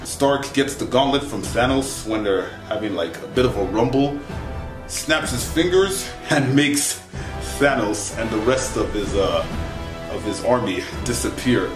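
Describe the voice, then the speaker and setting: A young man speaks in an average-pitched voice, reviewing casually and conversationally with plenty of slang. He sounds animated, recorded a short way from the camera mic in an echoey room, with faint music behind him. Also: measured pace. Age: young adult. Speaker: film reviewer. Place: small wood-furnished bedroom, indoors.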